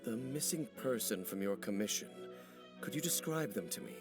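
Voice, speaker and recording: A man playing a brooding, serious investigator in a video game, speaking in a formal, deliberate and grave way. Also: fairly low pitch, animated, over music. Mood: troubled, solemn. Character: brooding, serious male investigator, measured and cryptic.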